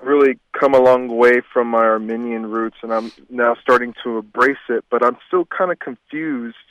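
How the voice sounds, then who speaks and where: A man's voice: mid-range voice, normal speed, no room sound. Hesitant male caller on a phone line. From Texas. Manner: earnest, hesitant with fillers. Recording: dull phone-line call.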